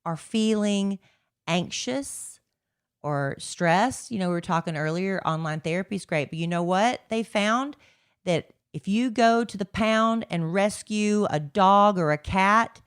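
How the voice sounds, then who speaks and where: A woman's voice: mid-range voice, lively intonation, a little slow, clean tone. A middle-aged woman, co-hosting a podcast in a chatty, rambling way. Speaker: podcast co-host. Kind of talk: casual chat.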